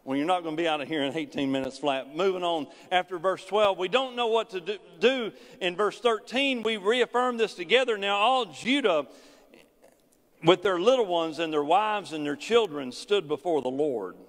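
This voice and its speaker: A middle-aged male pastor preaching a sermon in a large church sanctuary, pacing the stage. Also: normal speed. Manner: preaching with wide swings in pitch and loudness, pacing the stage. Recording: long church hall reverb.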